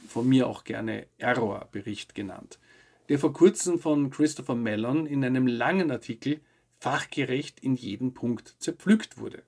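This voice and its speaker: A man in his fifties from Austria hosting a weekly news show, giving scripted commentary to camera in measured, formal sentences. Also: medium pitch, gravelly, darkish tone, husky.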